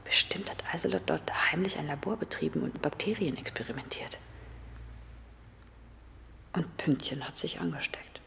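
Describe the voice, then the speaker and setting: Radio play: young woman, worried and breathy, low register, slips into whispers. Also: moderate pace, long pauses, a bit bright. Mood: shocked, desperate. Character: anxious young woman worried about sick sister. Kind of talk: radio drama acting with inner monologue.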